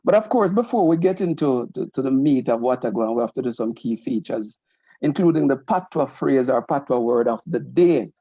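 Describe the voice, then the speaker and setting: Man hosting with a mellow voice. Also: medium pitch, lively intonation, no echo, lo-fi sound. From Jamaica. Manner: friendly, explains slowly with many restarts. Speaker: host, association president. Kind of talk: casual community talk show hosting.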